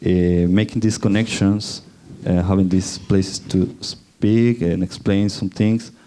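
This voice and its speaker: A man speaking hesitantly in a group discussion, with many pauses and fillers. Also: low-pitched, steady tone, dark tone, a little hiss or hum. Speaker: festival workshop participant. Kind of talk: spontaneous discussion remarks.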